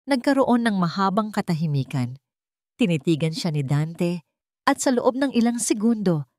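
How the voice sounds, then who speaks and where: A woman's voice: on the low side, darkish tone, no echo, clean studio sound. A woman narrating a scripted dramatic story as its storyteller.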